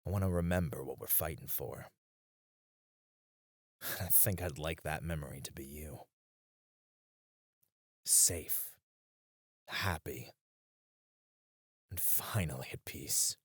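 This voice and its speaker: A man playing a battle-weary knight in a romantic ASMR roleplay, speaking softly and intimately with tender, wistful devotion to a comrade. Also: a bass voice, takes it slowly, pauses often, breathy edge, no echo, clean studio sound, exaggerated voice. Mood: earnest, tender. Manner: soft, intimate, hesitant with small chuckles.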